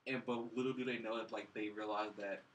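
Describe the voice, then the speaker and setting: A man's voice: lowish voice, little pitch movement, brisk, distant mic, poor audio. A man chatting casually as a podcast panelist about films, rambling and leaning heavily on the word "like". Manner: rambling, heavy use of "like". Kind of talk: casual chat.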